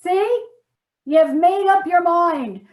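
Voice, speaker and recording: An actress playing a frustrated, nagging wife in a comic stage sketch, reproachful and emphatic. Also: female voice, very high-pitched, takes it slowly, darkish tone. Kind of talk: comic readers theater sketch.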